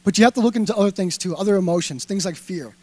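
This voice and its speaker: A man with a Boston accent giving a lively stage talk, firing questions at the audience with plenty of fillers. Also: sits high, racing, no echo. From Massachusetts. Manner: punchy, rhetorical questions to the crowd, filler words. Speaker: speaker at a live talk event. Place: indoor event hall.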